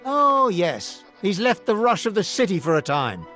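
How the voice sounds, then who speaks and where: A man's voice: high-pitched, sing-song, normal speed, bright, forceful tone, faint music behind, exaggerated voice. A man voicing a shrewd, confident little warrior hero in a cartoon game, speaking politely and articulately. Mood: determined. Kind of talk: animated cartoon game voice acting.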